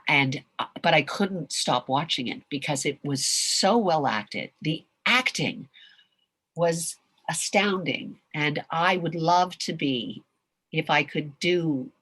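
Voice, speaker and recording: Canadian lady voice actress on a video call, low voice, rambly and animated, dry sound. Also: takes it slowly, slightly rough, no echo. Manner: animated, rambling, with frequent restarts. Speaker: voice actress guest. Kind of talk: casual chat in a video call Q&A.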